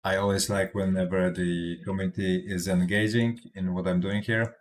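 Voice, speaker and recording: A man with a low, deep voice talks through charts for a live audience in English that is not his first language. His delivery stumbles and restarts often, tagged with "right?", and loosened by small chuckles. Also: flat delivery. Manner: halting, with frequent restarts and tag "right?"; chuckles between points. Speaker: crypto trading streamer. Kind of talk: casual livestream chart commentary.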